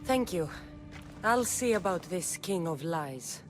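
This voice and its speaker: A young greek merc lady, wry and steady, game cutscene with music behind. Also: lowish voice, takes it slowly. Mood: firm, confident. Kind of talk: video game dramatic dialogue.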